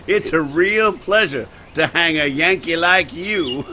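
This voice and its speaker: A man's voice: fairly high pitch, background noise. An American man playing a cruel, jeering cowboy henchman in an old-time radio Western. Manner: jeering, drawling.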